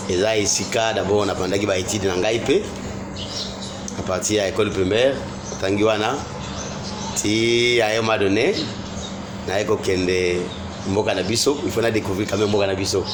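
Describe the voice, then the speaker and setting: A Congolese lawyer being interviewed, speaking in a measured, hesitant way with many fillers. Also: male voice, fairly low pitch, fairly even intonation. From Congo (Kasai Central). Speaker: lawyer guest. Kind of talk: interview answers. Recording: clear background noise.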